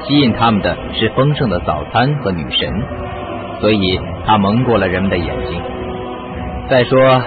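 A man playing an animated, shrewd radio-drama sleuth. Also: fairly low pitch, moderate pace, phone-quality sound, natural voice. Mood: assured, explaining. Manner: assured, explanatory. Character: shrewd, confident male sleuth explaining a crime. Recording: band-limited audio with music.

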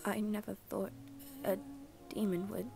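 A woman voicing a wary, easily flustered teenage boy in an anime ASMR roleplay, with halting, stammering speech. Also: mid-range voice, little pitch movement, gravelly. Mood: flustered, puzzled. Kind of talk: ASMR roleplay acted to the listener. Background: background music.

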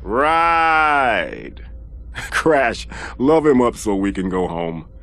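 A man voicing an excitable, mocking mask spirit, a hero's sidekick in a cartoon video game, in a hammy, exclamatory way. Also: mid-range voice, drawn-out words, background noise, exaggerated voice. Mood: confident. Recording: music under the voice.

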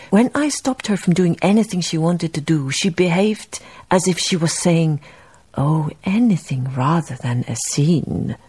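An actress playing a wistful, wry Danish baroness, narrating a literary radio drama in a measured way. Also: female voice, deep voice, gravelly, darkish tone, breathy edge, exaggerated voice. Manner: measured storytelling delivery.

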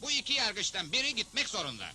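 A man's voice: high voice, wildly swooping pitch, fast talker, music in the background. A man voicing a level-headed, fair cowboy hero in a Turkish cartoon dub, speaking with assurance. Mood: decisive.

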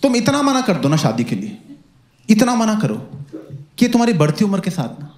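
A young man performing a stand-up comedy set into a handheld mic, telling stories in a playful, animated way. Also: sits high, normal speed. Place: comedy stage with live audience. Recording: roomy club sound with loud crowd laughter.

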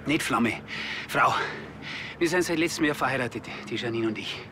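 A man's voice: mid-range voice, normal speed, noisy background, lightly acted. An actor playing a curt, self-important male football coach in a TV crime drama, clipped and blunt. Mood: brusque. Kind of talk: naturalistic TV crime drama acting.

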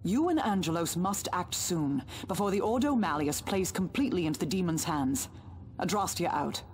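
Woman playing a stern, low-voiced inquisitor. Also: expressive, moderate pace, darkish tone, slight background noise, lightly acted. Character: stern, formal female inquisitor. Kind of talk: video game character acting.

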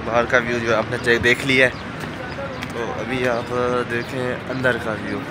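Young man vlogging outdoors, flat-toned. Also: medium pitch, moderate pace, very noisy. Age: young adult. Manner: warm and awed, repeating blessings. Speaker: travel vlogger. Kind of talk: casual walking vlog. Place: outdoors at a large mosque, then inside its hall. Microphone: handheld phone camera at arm's length.

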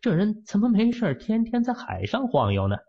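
A man's voice: fairly quick, breathy edge, dull audio. A man narrating a fantasy novel as an audiobook, telling the story expressively. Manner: expressive storytelling with lively pitch swings.

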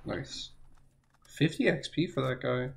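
A young man giving rambling, self-correcting let's play commentary, his voice hoarse as if he is losing it. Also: mid-range voice, slow pace, a little hiss or hum. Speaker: gaming channel host.

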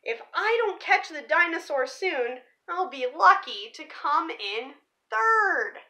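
A young woman speaks to children in a high, animated voice. Her tone is bright and forward, with expressive emphasis on key words, in the manner of a teacher reading a picture book aloud. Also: slow pace. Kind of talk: storybook read-aloud and presentation for children. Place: small living room or room at home, indoors.

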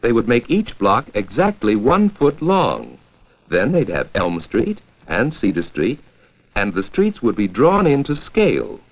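An American man narrating a 1940s educational film from a script, with a clear, measured storytelling delivery. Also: on the low side, huge pitch swings. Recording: dull, lo-fi 1940s 16mm film soundtrack.

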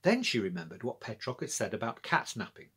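A man's voice: mid-range voice, very animated, a little fast, fairly bright tone, slightly rough. A middle-aged British man narrating a children's story as a storyteller. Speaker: author and storyteller. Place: small indoor room with bookshelves.

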